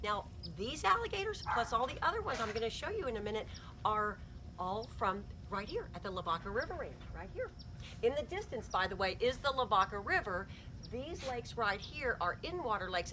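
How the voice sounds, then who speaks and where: Lively woman leading an outdoor nature talk. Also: fairly high pitch, noisy background. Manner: upbeat, encouraging, lively speaker. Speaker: heritage interpreter giving a nature talk. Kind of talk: friendly guided presentation to a small group. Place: outdoors at a ranch.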